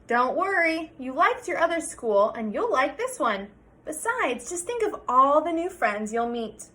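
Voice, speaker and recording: A coaxing, firm man from a picture book, read by a woman. The voice is high for the part and very animated, patient and full of endearments. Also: measured pace, full character voice. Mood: cheerful coaxing. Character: coaxing, patient but firm man rousing reluctant Sarah.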